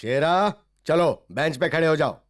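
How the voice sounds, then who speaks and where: A man's voice: fairly high pitch, animated, measured pace, noisy background. A man playing an easily swayed, exasperated schoolteacher in a Hindi children's cartoon, coaxing his pupils. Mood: stern.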